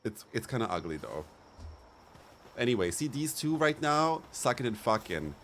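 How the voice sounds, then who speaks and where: A man's voice: medium pitch, big pitch swings, moderate pace, fairly bright tone, a little hiss or hum. Campy, playful young man streaming. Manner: campy, playful, laughs often, slangy. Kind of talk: casual live-stream chat over gameplay.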